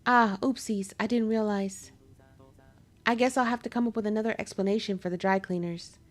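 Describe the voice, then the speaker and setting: A hoarse woman reading the lines of a polite male cannibal housemate in a game, calm and pleasant even when threatening. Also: medium pitch, a little fast, soft background music, natural voice. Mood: mild.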